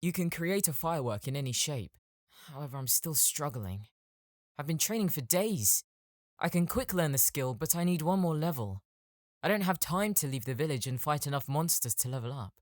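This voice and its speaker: A man's voice: a little high, animated, normal speed, no room sound, lightly acted. Man playing a knowing young gamer mage, dry sound. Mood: explaining. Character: young male fantasy gamer, knowing and eager spellcaster. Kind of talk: audiobook character acting.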